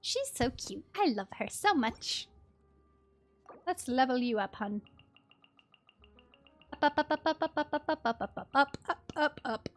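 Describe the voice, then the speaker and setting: A young woman live-streaming a game, chatting casually with an expressive, playful manner. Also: sits high, measured pace, long pauses, faint music behind. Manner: expressive, playful, exclaims and chants little words.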